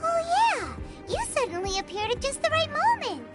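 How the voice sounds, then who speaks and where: A woman voicing a tiny, chatty, indignant fairy companion in a video game, with a squeaky childlike voice. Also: big pitch swings, normal speed. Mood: excited. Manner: refers to self in third person, exclamatory. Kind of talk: anime-style video game voice acting. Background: game music.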